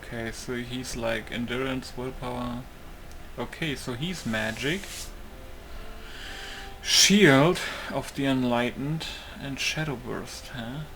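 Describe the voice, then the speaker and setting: A man's voice: medium pitch, takes it slowly, a bit bright. A man giving casual let's play commentary, informally thinking aloud as he plays. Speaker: gamer recording a let's play. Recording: noisy mic over game ambience.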